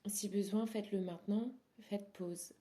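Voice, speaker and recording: A woman leads a guided meditation at a very slow pace, with a medium-pitched voice. She speaks softly and soothingly, leaving pauses between short phrases. Some phrase endings fade into a breathy softness. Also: fairly even intonation. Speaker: yoga nidra instructor.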